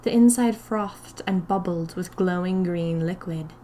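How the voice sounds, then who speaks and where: A woman narrating scripted first-person fiction in a deliberate, dramatic manner. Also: fairly low pitch, warm tone, a little hiss or hum.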